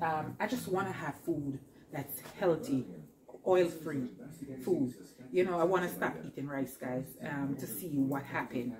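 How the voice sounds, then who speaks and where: A woman's voice: sits low, reverberant, low-quality recording. A middle-aged woman vlogging casually from her home kitchen, chatty and rambling as she talks to her viewers while she cooks. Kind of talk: casual cooking vlog. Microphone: camera on the counter.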